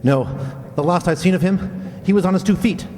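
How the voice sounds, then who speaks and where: A man playing an excitable, urgent henchman giving chase in an old-time radio drama. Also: high voice, a little fast, long reverb tail, close to an everyday voice. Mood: alarmed, urgent. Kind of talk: 1940s radio acting.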